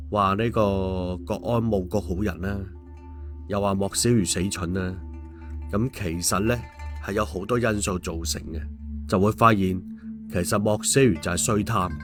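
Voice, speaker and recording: A man's voice: lowish voice, fairly bright tone. A man with a Hong Kong Cantonese accent narrating stories and commenting on them in a colloquial, opinionated way as host. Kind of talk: story narration and casual commentary.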